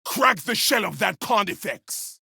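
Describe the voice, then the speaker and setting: An actor playing a brash, zealous, battle-hungry space marine with a rough gravelly voice in a video game. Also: male voice, high voice, expressive, unhurried, bright, forceful tone, no echo, studio quality, exaggerated voice. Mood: grim satisfaction. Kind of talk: video game combat barks.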